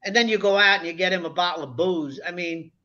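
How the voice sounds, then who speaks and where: A woman's voice: fairly low pitch, fast, crowd noise. A woman in her seventies who is a guest on an online panel and talks in a chatty, emphatic way. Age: 70s. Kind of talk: casual online panel chat. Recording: dull lo-fi sound.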